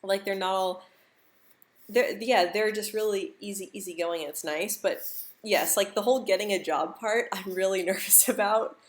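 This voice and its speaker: A woman's voice: mid-range voice, moderate pace. A young American woman who stutters, repeating words and sounds, chatting casually on a live stream and laughing easily. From United States.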